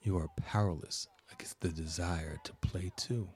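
A man narrating a guided meditation as a podcast host, with measured, soothing, deliberate phrasing. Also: deep voice, steady tone, a little mellow, slight background noise.

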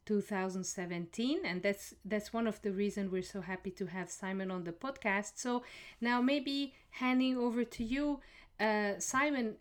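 A woman podcast host introducing her guest in a measured way, with a few "um" pauses. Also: medium pitch.

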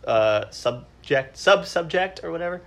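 A woman's voice: sits low, expressive, a little slow. A woman chatting casually on a podcast, explaining things in an informal way. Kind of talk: casual chat.

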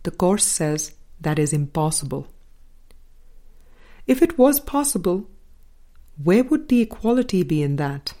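A woman reading a spiritual book aloud as scripted narration, in measured, deliberate phrases with pauses. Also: on the low side, big pitch swings, dark tone. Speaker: audiobook reader.

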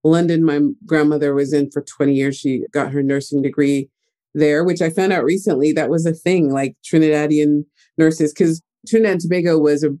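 A young man talking about his life as an interview guest, lively and chatty. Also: fairly high pitch, fairly quick. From United States. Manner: animated, chatty storyteller. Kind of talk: casual interview talk.

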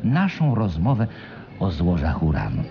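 A man's voice: low-pitched, wildly swooping pitch, normal speed, highs cut off. A male actor in a radio play playing a shrewd, self-satisfied schemer who explains things with patient confidence. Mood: smug storytelling. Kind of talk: Polish radio play acting.

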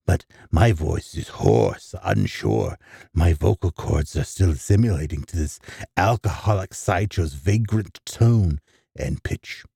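Gravelly male horror narrator, very deep and dark-toned; theatrical, close and dry. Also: big pitch swings, a little slow, no room sound. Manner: theatrical, with sudden gasps and hushed asides. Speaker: storyteller. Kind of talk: dramatic first-person horror narration.

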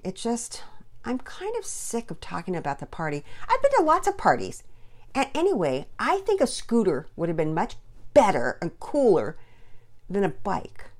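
Sulky young girl for a storybook, played by a woman: whiny, defensive, high and swooping. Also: big pitch swings, normal speed, a little mellow. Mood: cross, sulky.

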